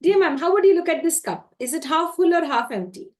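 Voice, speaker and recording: A woman's voice: very high-pitched, lively intonation, fairly quick. A woman hosting an online interview, polite and formal, addressing her guest as dear and ma'am. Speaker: interviewer, host.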